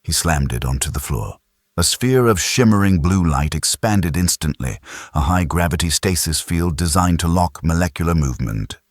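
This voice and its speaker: A man's voice: low voice, lively intonation, moderate pace, no room sound, studio quality. A man narrating a science fiction audiobook in a dramatic, scripted style.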